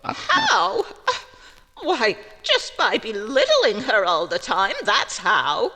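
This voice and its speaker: A woman's voice: on the high side, sing-song, slow, pushed and bright, breathy edge, long reverb tail, exaggerated voice. A woman playing an indignant, gossipy small-town neighbor with an emphatic, folksy manner in an old-time radio drama.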